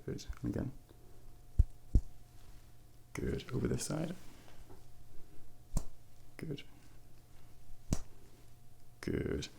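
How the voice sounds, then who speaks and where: A soft-spoken man playing a doctor in an ASMR role play, murmuring gentle, reassuring instructions close to the mic and sometimes whispering. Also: medium pitch, drawn-out words, vocal fry, long pauses, noisy background. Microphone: close-up ASMR microphone.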